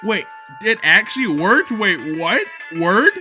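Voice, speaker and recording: A man's voice: high-pitched, big pitch swings, slow pace, bright, forceful tone. A man doing a visual novel let's play, reading narration aloud and reacting in slangy, exclamatory casual speech. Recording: prominent background music. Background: music.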